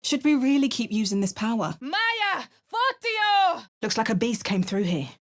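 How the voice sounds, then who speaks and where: A wry, determined adventuring heroine for a fantasy video game. She gives clipped asides and narrates in the first person, with a fairly high voice. She shouts her spell incantations. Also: sing-song, unhurried. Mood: steady. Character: adventuring hero, young adult woman, wry and determined. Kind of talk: recorded game voice lines.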